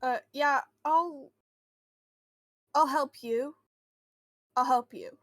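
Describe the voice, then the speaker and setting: Woman playing a friendly guarded boy in an rpg, hesitant, kinda slow talking, dry close sound. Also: high voice, no room sound. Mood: wary, willing. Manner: hesitant, halting, reassuring. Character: friendly, guarded boy who hears voices. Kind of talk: improvised tabletop roleplay.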